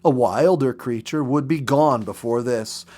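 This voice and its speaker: A man narrates a story in a medium-pitched voice. His delivery is expressive and deliberate, shaped for dramatic storytelling. He reads as a practised story reader would, with careful phrasing.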